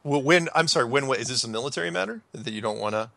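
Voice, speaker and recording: Male talk host: casual, animated interjector, sharp creaky delivery, dry close sound. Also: mid-range voice, racing, no room sound. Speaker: show host. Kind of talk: casual talk show chat.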